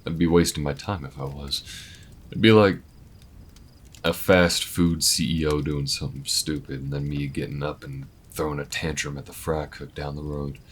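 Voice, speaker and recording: Man voicing a very deep, rugged alien bounty hunter. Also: fairly even intonation, normal speed, husky. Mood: reassuring, frank. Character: rugged, easygoing alien male bounty hunter, warm and weathered. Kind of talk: intimate slow-paced audio roleplay.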